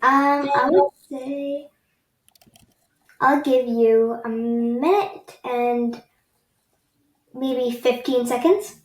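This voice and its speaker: A woman's voice: high voice, drawn-out words, pauses often. A young woman hosting a podcast interview over a video call from her bedroom, chatty and a bit hesitant, with many ums. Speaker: podcast host. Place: bedroom, indoors. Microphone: video call with laptop mic. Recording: echoey room with faint noise.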